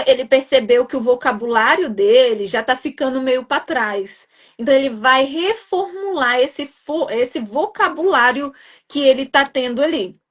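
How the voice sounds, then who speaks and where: Mineira nurse explaining exam changes. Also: female voice, high-pitched. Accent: Minas Gerais Portuguese. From Minas Gerais, Brazil. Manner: explanatory, reassuring, tag questions. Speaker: guest nurse educator. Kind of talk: live stream conversation.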